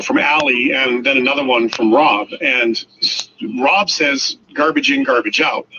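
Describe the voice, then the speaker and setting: A man's voice: mid-range voice, sharp tone. A man hosting an interview, relaying audience questions in a conversational way. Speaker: interview host. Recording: muffled, noisy radio-like sound.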